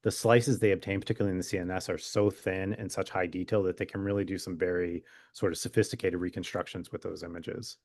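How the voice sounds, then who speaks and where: A man's voice: deep voice, level tone, quick pace, hardly any pauses, darkish tone. A man speaking as a physician guest expert in an interview, explaining in an informal, conversational way with wry humor.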